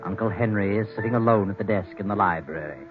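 A man acting as an ominous narrator of an old radio mystery. His low voice is deliberate and suspenseful over prominent music, in a tinny vintage recording. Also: lightly acted. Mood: ominous, measured. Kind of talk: 1940s radio scripted narration.

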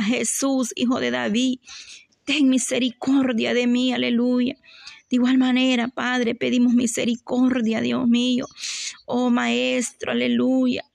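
A woman leads a fervent spoken prayer in a fairly high, creaky voice. She keeps a narrow, even pitch and an unhurried pace as she pleads devoutly, returning to repeated invocations. The sound is dry and close. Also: no room sound. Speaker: religious worshipper leading prayer.

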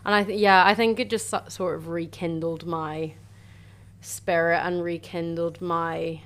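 A young woman from Ireland, a university student telling her faith story in chatty, warm casual talk full of "sort of" and "like". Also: lowish voice, steady tone, unhurried. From Northern Ireland. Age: young adult. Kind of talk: casual faith testimony chat.

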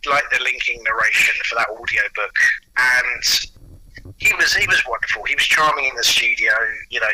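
A man's voice: mid-range voice, pushed and bright, slightly rough, low-quality recording. A man speaking as an interview guest, enthusiastic and anecdotal in casual chat. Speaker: audio producer and actor, interview guest.

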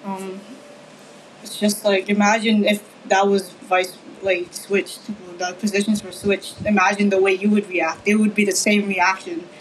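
A teenage girl from the Philippines speaking softly and hesitantly in a casual discussion, often repeating words as she searches for them. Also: medium pitch, level tone, measured pace, poor audio.

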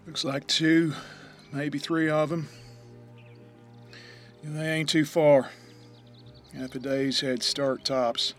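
An actor playing a gloating, menacing Old West bounty hunter who drawls and taunts in an audio drama. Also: male voice, a little high, flat delivery, slow, long pauses. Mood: watchful. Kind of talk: western audio drama acting. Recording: music behind the voice.

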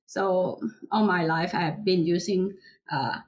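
A woman with a Thai accent speaks slowly in a podcast interview. She pauses often and fills the gaps with short fillers as she gathers her thoughts. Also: on the low side, fairly even intonation, a little mellow. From Thailand. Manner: hesitant, many fillers, chuckles lightly. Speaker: heritage language teacher. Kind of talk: interview answer.

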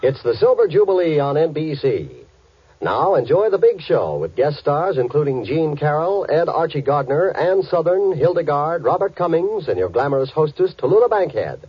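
A man's voice: fairly high pitch, big pitch swings, normal speed, slightly rough. A man reading a showy, emphatic 1950s radio network promo. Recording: dry, lo-fi old radio broadcast.

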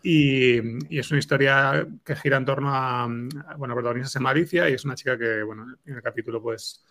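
A man chats as an interview guest in a Castilian Spanish accent. His mid-pitched voice is gravelly with fry, and he restarts phrases as he explains. The recording is very dry. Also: fairly bright tone, no room sound. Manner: halting, self-correcting.

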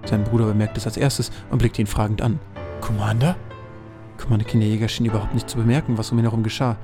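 A man's voice: fairly low pitch, little pitch movement, rapid-fire. A man narrating a scripted fantasy audio drama as its storyteller. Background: music.

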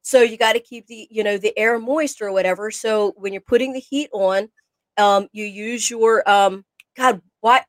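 A woman's voice: mid-range voice, moderate pace, no echo. A woman co-hosting a casual podcast chat, rambling and often groping for words. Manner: rambling, hunts for words, many fillers. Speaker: podcast co-host.

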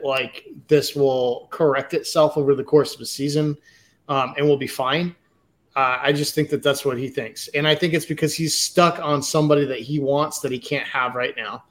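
A man's voice: mid-range voice, steady tone, normal speed. A man co-hosting a casual sports chat, talking bluntly and with exasperation, swearing now and then. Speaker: podcast co-host.

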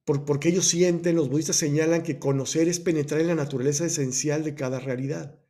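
A man's voice: a little high, steady tone, fast, mellow. A man hosting a personal growth talk, explaining Buddhist ideas in a thoughtful way, often restarting and correcting himself. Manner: thoughtful, self-correcting, many restarts and asides. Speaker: host of a personal growth podcast.

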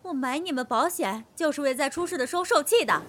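Quarrelsome, haughty female customer: fast scolding delivery, high swooping voice, audio drama. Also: animated, fairly bright tone. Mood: angry, scolding. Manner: scolding, demanding, indignant.

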